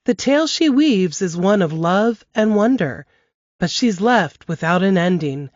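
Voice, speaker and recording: A woman's voice: mid-range voice, lively intonation, measured pace, a little mellow, no echo. Woman reading a book blurb steadily. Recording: dull, dry lo-fi recording.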